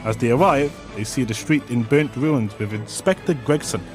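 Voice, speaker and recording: A man's voice: medium pitch, normal speed, darkish tone, close to an everyday voice. An actor playing a sardonic, theatrical male narrator who mocks the hero in a scripted comic radio drama. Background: music.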